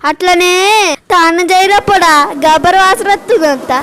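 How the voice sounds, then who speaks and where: A woman voicing a nagging, sharp-tongued old village grandmother in a Telangana accent for a cartoon comedy. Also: very high-pitched, fairly even intonation, moderate pace. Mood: scolding. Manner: nagging, scolding. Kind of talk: cartoon comedy dubbing in dialect. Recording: loud noise behind the voice.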